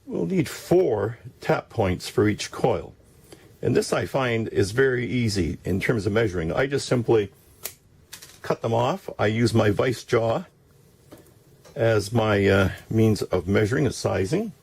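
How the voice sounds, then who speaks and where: DIY demonstrator, male, gravelly and rough-edged, informal, halting step-by-step delivery. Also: lowish voice, expressive, darkish tone. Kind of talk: casual hands-on tutorial.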